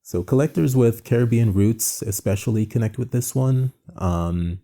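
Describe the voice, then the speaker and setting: A man's voice: medium pitch, normal speed, mellow. A man from Jamaica hosting an art presentation, talking in a conversational, reflective and earnest way with frequent asides. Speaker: art show host and founder.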